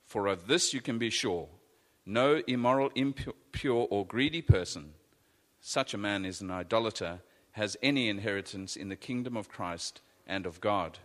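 Man reading scripture, measured, slow. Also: on the low side, a bit bright. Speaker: scripture reader. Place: church. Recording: echoey church hall.

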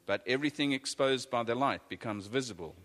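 A man reading scripture aloud in a measured, deliberate way. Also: mid-range voice, a bit bright. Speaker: scripture reader. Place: church. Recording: echoey church hall.

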